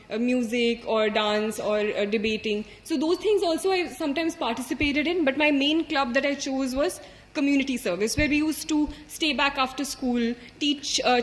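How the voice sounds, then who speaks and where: A woman's voice: high voice, reverberant. A young woman in her twenties with an Indian English accent, a native Hindi speaker, telling her own story in an interview, thoughtful and full of small hesitations. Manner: thoughtful, with frequent hesitations and fillers. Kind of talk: candid personal story.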